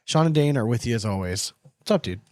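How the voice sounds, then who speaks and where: A man's voice: mid-range voice, animated, fast, darkish tone, no echo. An American man co-hosting a podcast in casual, informal, salty-tongued chat. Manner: foul-mouthed, informal. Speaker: podcast host.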